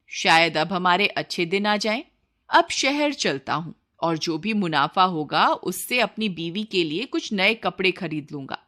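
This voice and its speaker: A woman's voice: fairly low pitch, lively intonation, measured pace, sharp tone. A woman voicing a poor, honest milkman husband in a cartoon story, earnest and given to reproachful questions. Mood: surprised, hopeful.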